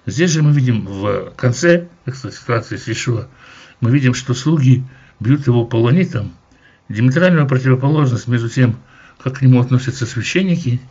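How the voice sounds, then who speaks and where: Man, Bible teacher, husky voice, measured explanatory delivery, dull lo-fi sound. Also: mid-range voice. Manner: measured, explanatory, with occasional hesitations. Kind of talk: verse-by-verse Bible commentary.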